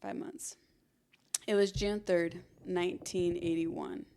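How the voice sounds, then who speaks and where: A woman's voice: lowish voice, steady tone, slow pace, warm tone, slight background noise. Chatty young American woman telling true crime. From Arizona, United States. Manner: informal, chatty. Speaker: podcast host. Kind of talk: conversational true crime storytelling.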